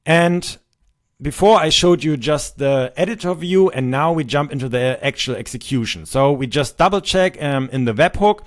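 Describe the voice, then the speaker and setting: A man in his thirties with a German accent, a native German speaker, casually walking through a demo of software he built, with frequent ums and restarts. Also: fairly high pitch. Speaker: software developer demoing his tool. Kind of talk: screen-recorded software tutorial.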